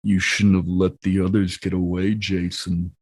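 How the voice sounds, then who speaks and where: A menacing inhuman shapeshifter, voiced by a man at a game table speaks low and slow. The creature taunts its prey with threat in every phrase, in tabletop horror roleplay. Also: level tone, slightly rough, no echo. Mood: quiet menace.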